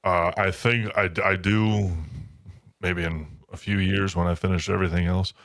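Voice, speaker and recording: A man with a deep voice co-hosts a casual book podcast. His delivery is informal and conversational, and the recording is dry with very little room sound. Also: little pitch movement, moderate pace, a bit bright, no room sound. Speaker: podcast co-host.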